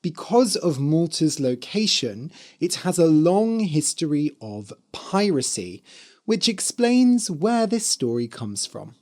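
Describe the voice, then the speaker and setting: Slow-paced, slightly hoarse podcast teacher. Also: medium pitch, very animated, a little mellow. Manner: careful enunciation, spelling words out letter by letter. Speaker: podcast host and English teacher. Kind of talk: slow, clear explanation for language learners.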